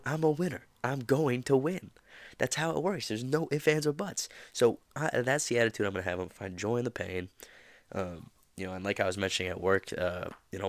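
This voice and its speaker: A man's voice: fairly low pitch, no echo. A man hosting a motivational podcast, talking in a casual, rambling way with many fillers. He is a little nasal from a slight cold. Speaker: podcast host.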